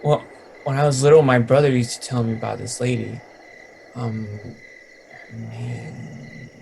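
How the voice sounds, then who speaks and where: A man's voice: medium pitch, animated, unhurried, creaky, noisy background. A man playing a laid-back, teasing young stoner in a dramatic reading, rambling in casual slang. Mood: hazy, insistent.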